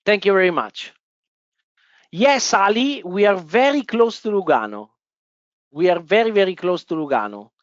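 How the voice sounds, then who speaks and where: A man's voice: high-pitched, big pitch swings, normal speed, pauses often, no room sound, dull audio. A man from Italy with an Italian accent, hosting a live stock-investing webinar and talking to viewers with many fillers and restarts. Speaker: webinar host. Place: office in the Italian part of Switzerland.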